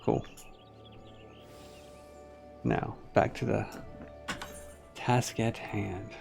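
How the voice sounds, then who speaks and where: A man's voice: fairly low pitch, steady tone, drawn-out words, creaky, a little mellow, soft background music. A man giving live gameplay commentary, muttering to himself as he plays and reads quest text. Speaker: game streamer.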